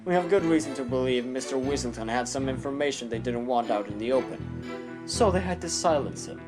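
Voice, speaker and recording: A man's voice: mid-range voice, expressive, brisk, music in the background, lightly acted. A man acting a haughty, condescending bird prosecutor in a courtroom audio drama. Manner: pompous, condescending, theatrical.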